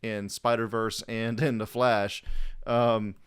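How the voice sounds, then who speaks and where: Clear-voiced man, blunt and slangy. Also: on the low side, little pitch movement, slow. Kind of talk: casual chat.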